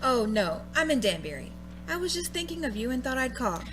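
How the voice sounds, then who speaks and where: A woman's voice: high voice, big pitch swings, fairly quick, music in the background. A woman playing a chatty, gossipy housewife friend on a telephone call in a radio play. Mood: friendly. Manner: breezy, gossipy, unconcerned.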